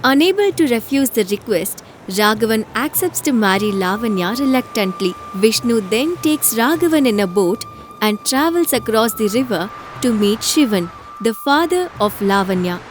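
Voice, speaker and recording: A woman's voice: on the high side, lively intonation, moderate pace, a little hiss or hum. A woman narrator reading a scripted moral story.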